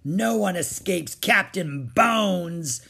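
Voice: mid-range voice, expressive, slow pace, creaky, sharp tone, slightly rough, pitched above the performer's own voice, exaggerated voice. A narrator voicing a big, mean, greedy pirate captain who growls and roars commands, in a storybook reading. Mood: gruff, menacing. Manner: bullying, growling, roaring commands.

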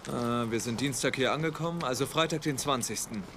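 A man's voice: medium pitch, a little fast, close to an everyday voice. Man acting a blunt young investigator, noisy ambience. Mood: matter-of-fact. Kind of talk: dubbed TV drama acting. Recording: clear ambient noise behind the voice.

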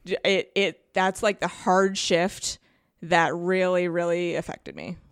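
A woman chatting casually on a podcast, animated and halting, often repeating herself. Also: on the low side, clear, resonant tone, dry recording, clean studio sound. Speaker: podcast guest, nurse. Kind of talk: casual chat.

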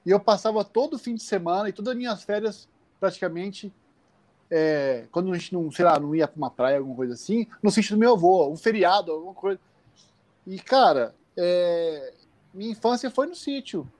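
A man from the Campinas area of Brazil, telling childhood stories in a casual, animated way on a live call, laughing often. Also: high-pitched, brisk. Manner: animated, laughing, many restarts. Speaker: guest. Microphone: phone on a live stream.

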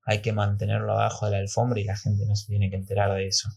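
A man's voice: on the low side, monotone, quick pace, gravelly, darkish tone, husky. A man with an Argentine accent, a podcast guest explaining his opinions conversationally and emphatically.

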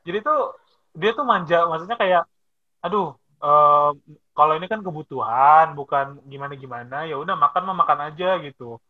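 A man's voice: on the high side, normal speed. A man in his twenties chatting casually and telling stories with quoted voices. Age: 20s. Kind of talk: casual chat. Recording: dull lo-fi sound.

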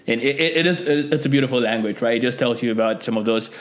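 A male podcast co-host with a middle-pitched voice. He chats casually and jumps in eagerly with his own anecdotes. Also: quick pace.

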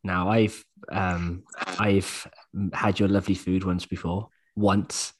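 A man's voice: sits low, level tone, slow, poor audio. A man co-hosting a podcast, easygoing and wry as he questions a guest. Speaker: podcast co-host. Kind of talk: casual podcast chat.

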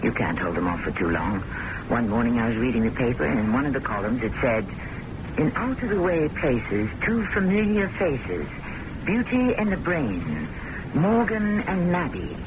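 A woman's voice: very animated, moderate pace, highs cut off. An American woman acting an intense, possessive, romantically obsessed woman in a theatrical radio mystery drama. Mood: bitter, defensive. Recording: noisy old radio broadcast with rumble.

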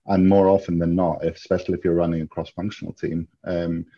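Male interview guest from Greece, deep and mellow, halting and thoughtful delivery. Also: level tone. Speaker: interview guest, software engineer. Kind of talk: reflective interview talk. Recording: dull, muffled lo-fi audio.

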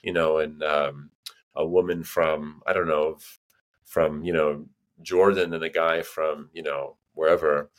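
A man's voice: very deep voice, little pitch movement, measured pace. An American man chatting casually as a podcast guest, rambling and filling his sentences with "you know" and restarts. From United States. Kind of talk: casual conversation.

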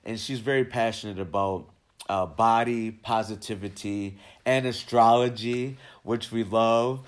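Low-voiced male podcast host, halting. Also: steady tone. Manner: halting, with frequent uhs and ums. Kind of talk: interview introduction and questions.

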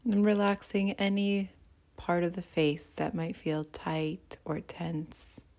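A woman meditation teacher with a fairly low voice and a gravelly fry at the edges. She guides gently and soothingly, taking her time at a very slow pace. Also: fairly even intonation. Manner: soothing, gentle, unhurried guiding.

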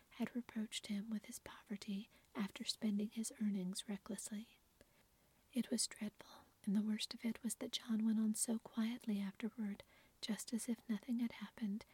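Whispering woman narrator, breathy, flat, close and dry. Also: mid-range voice, no room sound. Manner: soft unvoiced whisper. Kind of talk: whispered ASMR story reading.

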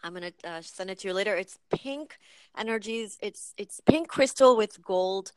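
A woman talking casually in a spiritual conversation, haltingly searching for her words as she goes. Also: mid-range voice, lively intonation, clean tone, no echo.

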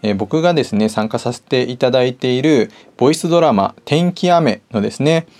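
A man's voice: mid-range voice, expressive, a little fast, darkish tone. A man hosting a podcast, talking casually and politely in a conversational way. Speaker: podcast host. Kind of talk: casual solo talk.

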